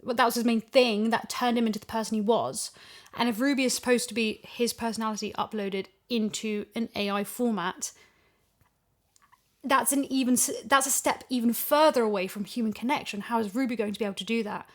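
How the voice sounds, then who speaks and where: High-voiced woman in casual discussion. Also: fairly quick. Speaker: audio drama voice actress.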